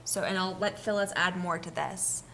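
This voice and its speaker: A woman on an expert panel answers a question about investment fees. She is brisk and informative, and faint room sound sits behind her. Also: lowish voice, creaky, a bit bright, slight background noise, low-quality recording. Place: event room with audience.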